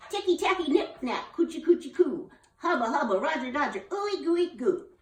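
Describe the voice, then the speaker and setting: A woman's voice: fairly high pitch, animated, normal speed, fairly bright tone, far from the mic, pitched above the performer's own voice. A woman voicing a chirpy young schoolgirl with a breathless, sing-song manner. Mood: chanting. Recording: roomy, from off the mic.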